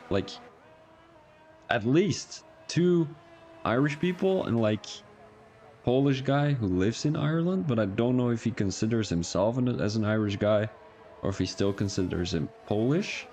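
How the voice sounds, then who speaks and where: A man's voice: mid-range voice, expressive, a little slow, darkish tone, a little hiss or hum. A young man from Belgium with an Antwerp Flemish accent, chatting casually and rambling as a racing livestream commentator, chuckling and correcting himself as he goes. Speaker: race commentator.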